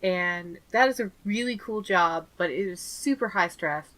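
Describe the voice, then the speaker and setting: A woman's voice: medium pitch, unhurried, a bit bright. A woman co-hosting a podcast, chatting casually, laughing often and acting out little quotes as she recaps. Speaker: podcast co-host.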